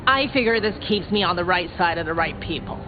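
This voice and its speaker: Brash, slangy vampire girl with swooping pitch, played by an actress. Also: expressive, fast talker, close to an everyday voice. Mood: frank, frustrated. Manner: slangy, sardonic, streetwise, swearing. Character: brash, sarcastic young female vampire, scrappy small-town newcomer. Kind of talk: video game character dialogue. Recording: dull game audio under clear music.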